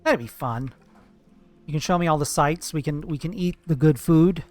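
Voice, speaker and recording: A man's voice: a little high, normal speed, darkish tone, faint music behind. A man streaming live, chatting casually and profanely with his viewers while gaming, playful and teasing.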